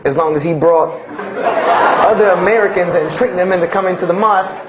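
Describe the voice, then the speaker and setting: Guy telling a story, fairly high voice, gets animated, crowd noise. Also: a little fast, poor audio. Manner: animated, rising into loud mock quotes.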